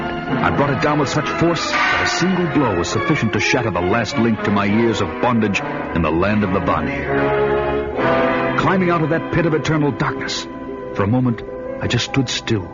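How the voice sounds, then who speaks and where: An actor playing a gruff, vengeful barbarian hero who narrates his own tale in dramatic radio-play style. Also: mid-range voice, highs cut off, lightly acted. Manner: grave, dramatic first-person narration.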